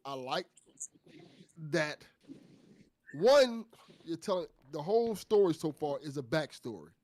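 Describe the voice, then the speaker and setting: Male co-host, slightly high register, very dry sound, thoughtful and conversational as he shares his take. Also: expressive, unhurried, a little mellow, no echo. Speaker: after-show co-host. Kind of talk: casual discussion and commentary.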